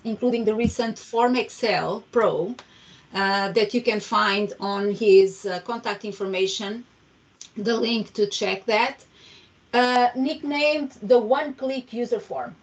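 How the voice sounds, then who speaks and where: Woman hosting a zoom meetup, friendly, lots of uhs, kinda distant and muffled. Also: fairly high pitch. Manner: friendly, hesitant, many fillers and restarts. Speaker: meetup host. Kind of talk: introducing a guest speaker. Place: home room, video call. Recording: distant, dull video call audio.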